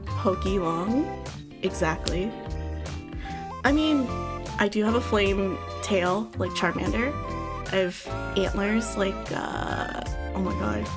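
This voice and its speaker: A woman's voice: mid-range voice, sing-song, takes it slowly, pauses often. A young woman chatting casually on a livestream, giggling often and rambling through restarts. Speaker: streamer. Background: faint background music.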